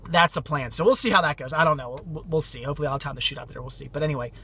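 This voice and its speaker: A man chats casually about his finds, rambling and upbeat. His voice sits high and sounds bright. He mentions he is losing it to a cold. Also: racing, gravelly, slightly rough. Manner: rambling, enthusiastic, repeats "very cool". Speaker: vlogger showing thrift finds. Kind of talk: casual vlog chat.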